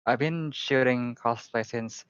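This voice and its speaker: A man in his thirties from Indonesia, a guest photographer talking casually on a podcast, relaxed and joking, with lots of fillers. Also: medium pitch, a little slow, smooth. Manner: relaxed, jokey, frequent fillers. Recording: slightly dull lo-fi audio.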